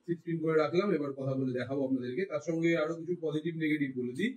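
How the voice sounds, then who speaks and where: A man's voice: fairly high pitch, racing, a little mellow. An Indian man with a Bengali accent giving a casual product review, explaining things to viewers and mixing in English phrases. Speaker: tech reviewer, vlogger. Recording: roomy echo, distant mic.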